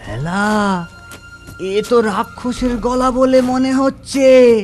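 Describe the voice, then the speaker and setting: A performer playing a poor, cautious male wanderer in a children's cartoon fairy tale, with an anxious, halting manner. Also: mid-range voice, a little mellow, soft background music, lightly acted. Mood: frightened.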